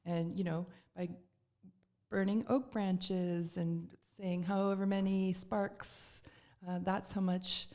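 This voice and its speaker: Middle-aged woman guest, low, dark mellow voice, rambling storyteller. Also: flat delivery, slow. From Canada. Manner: chatty storyteller with many fillers and restarts. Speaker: podcast guest. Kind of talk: casual chat.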